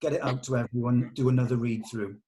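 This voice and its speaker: A man with a medium-pitched voice in an informal script meeting. He talks as a co-writer putting questions and suggestions to the group in a casual way. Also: steady tone, fast, mellow. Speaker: co-writer leading a table read discussion.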